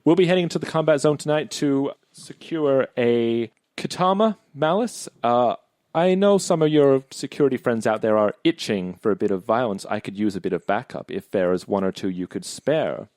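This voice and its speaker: A man voicing a businesslike cyberpunk crew negotiator, deliberate, formal and earnest. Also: medium pitch, darkish tone, dry recording, studio quality, pitched above the performer's own voice, natural voice. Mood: businesslike, courteous. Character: businesslike cyberpunk crew fixer called Junk, courteous negotiator.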